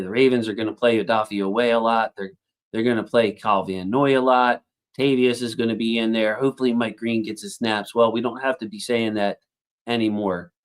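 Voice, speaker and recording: Chatty man hosting a football livestream. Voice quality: self-described squeaky voice. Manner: chatty, warm toward viewers, thinks aloud with fillers. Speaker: football channel host reading live chat. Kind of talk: casual livestream commentary.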